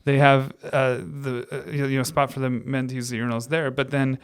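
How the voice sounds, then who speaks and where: A man's voice: mid-range voice, moderate pace, clear, resonant tone. American man telling casual stories. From United States. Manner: animated, amused, often laughing through his stories. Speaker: podcast co-host. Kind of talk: casual storytelling chat.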